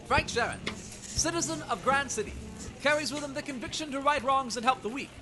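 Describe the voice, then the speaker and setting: A man's voice: very high-pitched, a little fast, music in the background. A man narrating as a formal, dramatic old-time radio announcer, proclaiming each line.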